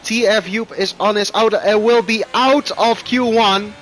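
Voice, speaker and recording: A man whose first language is Dutch, commentating live on an online racing game with excitable bursts. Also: high voice, normal speed. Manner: excitable, rising loud bursts at crashes. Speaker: esports race commentator.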